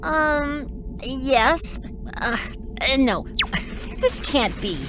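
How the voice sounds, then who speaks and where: A woman voicing a hesitant, worried helper robot in a cartoon computer game, halting and fretful. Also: high voice, expressive, fairly bright tone, slight background noise, full character voice.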